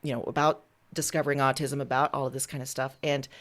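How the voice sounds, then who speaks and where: Woman, low-pitched voice, author in interview, casual, conversational, thoughts built phrase by phrase. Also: little pitch movement, fast.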